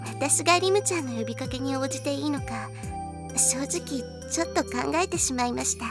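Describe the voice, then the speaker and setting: A woman playing a gentle, caring older-sister girl in an anime audio drama, speaking softly and politely. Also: high-pitched, normal speed. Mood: gentle, reflective. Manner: soft, polite, formal speech. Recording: music bed under the voice. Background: music.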